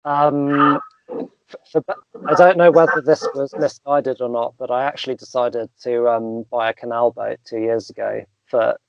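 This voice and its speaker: A young man, a coach guest in an interview, talking thoughtfully with many pauses, fillers and restarts. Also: mid-range voice, steady tone, vocal fry, muffled recording, background noise. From England. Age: young adult. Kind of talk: casual interview chat.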